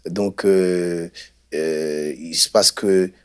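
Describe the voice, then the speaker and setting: A man from Congo, a guest pastor telling his life story in an unhurried, hesitant way. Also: sits low, steady tone, clear, resonant tone. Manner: unhurried, hesitant, many fillers.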